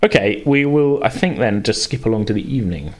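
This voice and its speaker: A man's voice: fairly low pitch, expressive, brisk, darkish tone. A man casually refereeing a tabletop game as game master, hesitant and easygoing as he thinks aloud.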